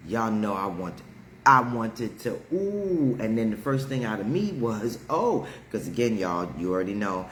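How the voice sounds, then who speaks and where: A man with a deep, heavy voice chats to his viewers in a loose storytelling style, laughing often as he talks. His voice sits low, and it rings out in a roomy space with an echo. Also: very animated, a little slow, fairly bright tone, slight background noise. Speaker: live stream host. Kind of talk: casual storytelling chat.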